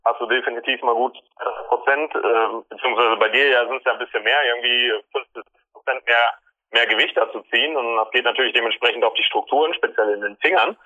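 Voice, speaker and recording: A man in a casual chat, agreeable and hesitant, often pausing on fillers. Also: mid-range voice, fairly even intonation, pushed and bright, highs cut off.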